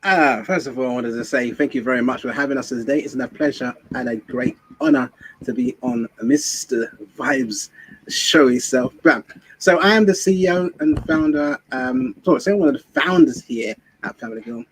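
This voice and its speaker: A man who co-founded the event speaks as a guest, rambling and halting with many fillers. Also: mid-range voice, husky, low-quality recording. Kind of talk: casual interview.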